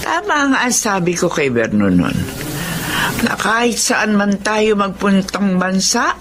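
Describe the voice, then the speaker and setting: A woman playing a warm, wistful elderly grandmother in a Filipino radio drama. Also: mid-range voice, very animated, unhurried, background noise. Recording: dramatic music behind the voice.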